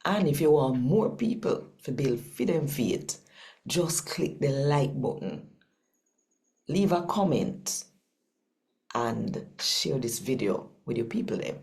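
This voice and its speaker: A woman with a Jamaican accent, hosting and reading scripture aloud in an expressive style with deliberate, emphatic phrasing. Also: extremely low pitch, gravelly. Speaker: channel host and scripture reader. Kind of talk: expressive scripture reading and channel talk in Patwa.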